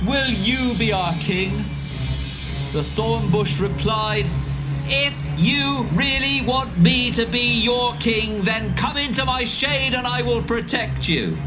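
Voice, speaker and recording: A defiant young man calling out the leaders of a town in an audio Bible drama. His voice sits high and carries an impassioned, accusing edge. A music score plays underneath him. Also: heavily acted, rough recording.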